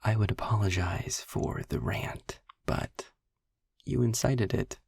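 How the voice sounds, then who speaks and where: A man playing a sarcastic, philosophical demon in an intimate audio roleplay, speaking deliberately in a husky, breathy half-whisper with a frustrated but principled air. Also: on the low side, a little mellow, no room sound, clean studio sound, exaggerated voice. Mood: wry, teasing. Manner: sardonic, deliberate, ranting with pauses and sighs.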